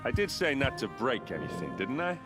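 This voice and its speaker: A man's voice: medium pitch, big pitch swings, fairly quick, music in the background, natural voice. A man playing a blunt, wry soldier in a video game. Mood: wry, surprised.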